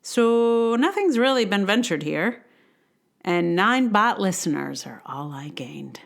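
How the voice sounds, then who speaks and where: A woman's voice: medium pitch, big pitch swings, takes it slowly, vocal fry. A woman hosting a podcast, reading a personal essay in a conversational, wry and self-deprecating way with emphatic turns. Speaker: podcast host.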